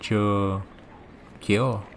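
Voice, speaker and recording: Guy doing a calm curious villain, asmr rp, really slow dry musing delivery, noticeable noise in the background. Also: lowish voice, gravelly, exaggerated voice. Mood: musing, teasing. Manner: musing, dryly teasing, unhurried. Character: calm, curious young male villain bargaining with a death god. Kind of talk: scripted ASMR roleplay.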